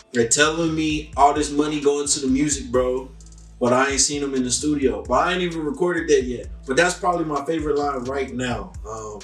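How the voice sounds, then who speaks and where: A young man answers interview questions in a loose, rambling way, filling every gap with "um" and "like". He sits a little back from the mic, so his voice sounds distant in an echoey room. Faint music plays under him. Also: mid-range voice. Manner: laid-back, rambling, lots of "um" and "like".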